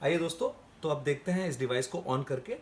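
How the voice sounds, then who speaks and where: A man with a slightly high voice presents a product demonstration. He explains things step by step and addresses viewers as friends. There is noticeable background noise around his voice. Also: lively intonation, fairly quick. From India. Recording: clear background noise and ambience.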